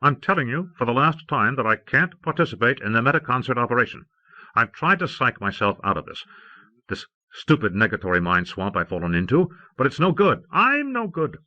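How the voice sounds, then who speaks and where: Muffled, dry audiobook tape of an anxious, worn-out man performed by a male narrator. The voice is pushed higher than the reader's usual, agitated and pleading. He sounds full of self-doubt and stubbornly insistent. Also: sing-song, fairly quick, pushed and bright, no room sound. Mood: anxious, defeated. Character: haggard, anxious, self-doubting man refusing his brother. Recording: muffled old cassette audiobook transfer.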